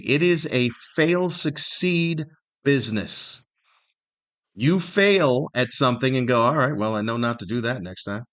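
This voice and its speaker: A man's voice: medium pitch, a bit bright. A middle-aged American man hosting a solo podcast, talking in a casual, rambling, conversational way and sometimes acting out imagined remarks. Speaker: podcast host and comedian.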